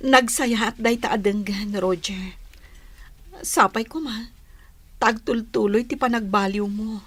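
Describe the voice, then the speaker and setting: A woman with an Ilocano accent acting a patient, forgiving young wife and mother in a radio drama, gentle and measured. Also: fairly high pitch, no echo. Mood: gentle, encouraging.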